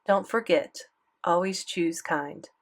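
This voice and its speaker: A woman voicing a kindly, encouraging male schoolteacher's letter in an audiobook, measured and gently questioning. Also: fairly low pitch.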